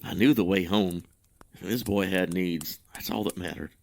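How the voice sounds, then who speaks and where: An actor playing a troubled, guilt-ridden husband in an audio drama, recounting a memory in a halting, hesitant, brooding monologue. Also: male voice, low voice, steady tone, creaky, darkish tone. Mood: anxious remembering.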